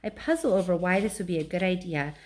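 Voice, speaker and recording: A woman's voice: on the low side, sing-song, fast talker, a little hiss or hum. A woman reading a children's storybook aloud as a teacher, narrating chapter text with brief asides.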